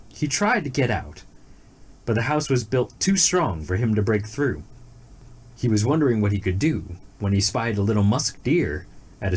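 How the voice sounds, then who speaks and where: A storyteller giving an expressive scripted narration of a fairy tale. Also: mid-range voice, husky.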